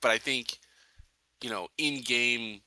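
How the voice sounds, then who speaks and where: A man's voice: mid-range voice, slow, sharp tone, no echo, low-quality recording. A man in casual discussion as a tabletop roleplaying player, reasoning aloud and checking for agreement.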